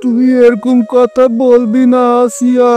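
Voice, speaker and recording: A man's voice: extremely high pitch, completely flat delivery, a little slow, mellow, soft background music. A man playing a proud, anguished poor farmer husband in a cartoon, speaking emphatically. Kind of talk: dramatic cartoon story acting.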